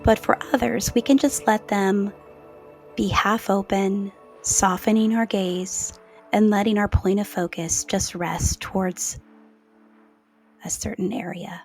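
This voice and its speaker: A woman leading a guided meditation in a slow, soothing, soft-spoken way with gentle pauses. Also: medium pitch, steady tone. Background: new-age music.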